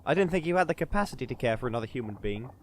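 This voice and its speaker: A man's voice: mid-range voice, animated, racing, slight background noise, close to an everyday voice. A man playing a dry, sceptical, grumbling adventurer sidekick in a comedy fantasy audio drama. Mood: reflective, dry. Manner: dry, sardonic.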